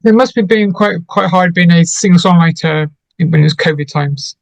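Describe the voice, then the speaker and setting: A man working as a radio interviewer, putting casual questions in a halting way and repeating words as he feels for them. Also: high voice, fairly even intonation, gravelly, dry recording.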